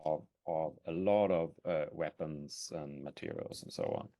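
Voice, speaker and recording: A man from Sweden speaking haltingly and off the cuff as a guest in a political discussion. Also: very deep voice, very animated, vocal fry, dark tone. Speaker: interview guest.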